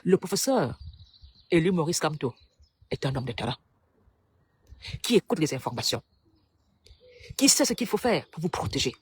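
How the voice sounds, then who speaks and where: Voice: mid-range voice, very animated, moderate pace, pauses often, darkish tone, slightly rough. A speaker from Cameroon delivers a preachy, rhetorical political monologue to the public. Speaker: political commentator.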